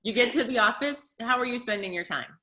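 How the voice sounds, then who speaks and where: Bright-voiced woman interviewer. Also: a little high, lively intonation, brisk. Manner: curious, probing follow-up questions. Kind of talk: casual interview.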